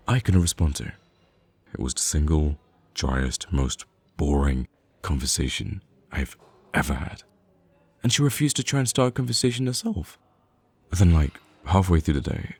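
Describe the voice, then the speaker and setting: Male actor as playful, teasing young guy friend for audio roleplay; extremely low, gravelly voice, casual animated banter. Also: no room sound, heavily acted. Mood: exasperated. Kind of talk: conversational ASMR roleplay acting.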